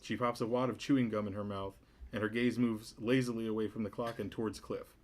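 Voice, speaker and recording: Host reading stage directions; man, lowish pitch, brisk and plain. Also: fairly even intonation. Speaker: host and narrator of a table read.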